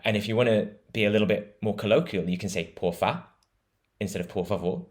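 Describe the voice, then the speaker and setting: A man's voice: on the low side, quick pace. A British man being interviewed as a travel writer, talking in an enthusiastic, explanatory way and laughing easily. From Britain. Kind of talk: conversational interview.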